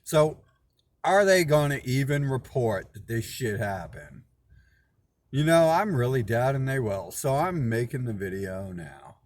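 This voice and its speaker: A man's voice: medium pitch, expressive, measured pace, a little mellow, no room sound. A man hosting his own channel, talking in a loose rambling monologue, swearing often, restarting and repeating words, indignant and suspicious. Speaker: channel host.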